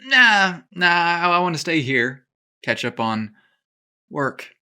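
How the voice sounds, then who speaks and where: A flustered, eager young clerk behind a golf shop counter, put on by a male narrator. His bright voice leaps upward when he gets excited or nervous. He sounds like he is trying hard to stay calm. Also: slow, clean tone, full character voice. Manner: flustered, excitable, voice jumping high.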